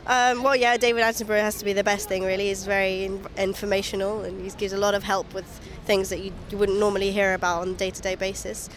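A woman's voice: medium pitch, level tone, fast talker, few pauses, a little hiss or hum. Casual woman in a street interview. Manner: casual, hesitant with fillers. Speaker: member of the public. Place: outdoors on a street.

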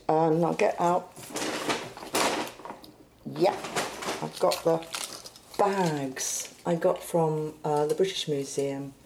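A woman's voice: low-pitched, mellow. A woman in a spontaneous-sounding solo monologue, thinking aloud and murmuring to herself in short fragments. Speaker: performance artist in an audio playlet. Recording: clearly noisy recording with clicks.

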